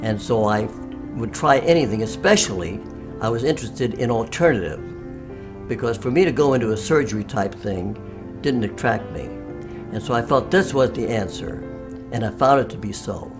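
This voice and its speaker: A man in his nineties talks in an emphatic, upbeat way as a patient in an interview. His voice sits at a middle pitch. Music plays clearly behind him. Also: lively intonation, normal speed. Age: 90s. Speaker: patient giving a testimonial. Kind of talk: interview testimonial.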